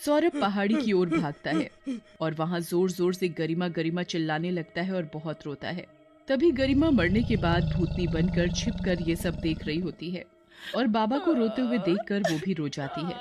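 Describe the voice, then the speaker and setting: A woman's voice: fairly low pitch, expressive, normal speed, a little mellow, faint music behind. A woman storyteller reading a scripted moral tale as its narrator.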